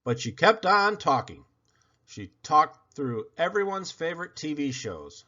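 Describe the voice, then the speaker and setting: A man narrating a children's storybook aloud in an expressive read-aloud style. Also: a little high, a little slow, highs cut off. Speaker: storybook reader.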